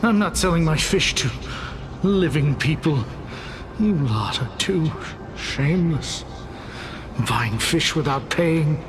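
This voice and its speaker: Slow, animated ghostly fishmonger. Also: male voice, on the high side, noisy background. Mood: indignant. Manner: rambling, broken repetition. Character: forlorn, indignant dead fishmonger endlessly selling fish. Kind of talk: video game voice acting.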